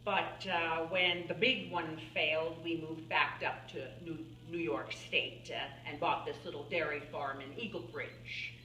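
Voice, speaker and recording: A woman playing an elderly, folksy farm-woman painter in a theatrical monologue, halting and musing, plainspoken and wry as she reminisces. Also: low voice, steady tone, pushed and bright. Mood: fond reminiscing. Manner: halting, musing, with small chuckles and pauses. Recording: roomy, distant mic, faint background noise.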